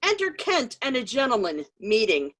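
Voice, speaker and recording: A woman's voice: fairly high pitch, huge pitch swings, normal speed, a bit bright. A woman narrator reading scripted stage directions for a play.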